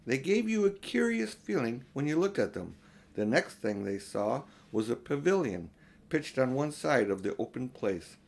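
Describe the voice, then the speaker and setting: A man's voice: medium pitch, big pitch swings, normal speed, gravelly, darkish tone. An older man reading a storybook aloud to children as an audiobook narrator, now and then stumbling over a word.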